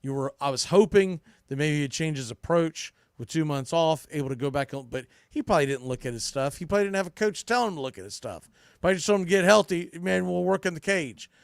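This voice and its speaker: A man's voice: on the high side, lively intonation, fast, vocal fry, clean tone, no echo, studio quality. A man hosting a sports podcast, giving opinionated, unscripted baseball commentary in a blunt, emphatic way, often restarting and repeating phrases.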